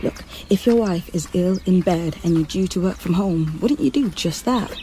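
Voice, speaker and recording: A woman's voice: lowish voice, expressive, normal speed, mellow, a little hiss or hum, close to an everyday voice. Woman acting an intense, determined undercover policewoman. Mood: earnest, urgent. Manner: intense, insistent, emphatic. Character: determined, frustrated undercover policewoman posing as nanny. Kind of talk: naturalistic modern radio drama acting.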